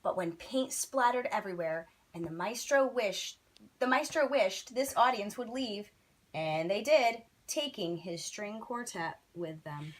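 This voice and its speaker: A woman's voice: medium pitch, expressive, unhurried. A woman teacher reading a children's bedtime story expressively, with dramatic, emphatic phrasing.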